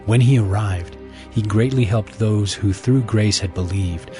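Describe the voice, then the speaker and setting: A man narrating scripted audio Bible scripture as a measured, solemn storyteller. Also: on the low side, mellow, faint music behind.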